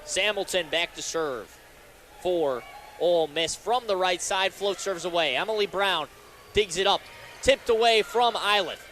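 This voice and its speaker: High-pitched young male play-by-play announcer. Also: a little slow, fairly bright tone, slight background noise. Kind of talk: live volleyball play-by-play commentary.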